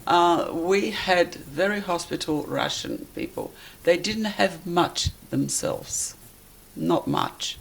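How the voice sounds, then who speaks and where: An elderly woman from Poland with a Polish accent, recalling her life in a halting, deliberate way as she is interviewed. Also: lowish voice, sing-song, vocal fry, poor audio. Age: 80s or older. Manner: halting, deliberate, searching for words. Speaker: interviewee, life-story witness. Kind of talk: personal reminiscence in an interview.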